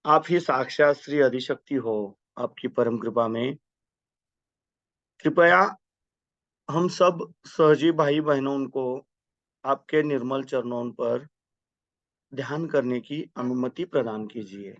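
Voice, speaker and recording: A man with a medium-pitched voice guides a meditation, giving instructions and prayers in a reverent manner. The recording is dry and somewhat dull, with little room sound. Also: slow pace, pauses often, a bit bright, dry recording.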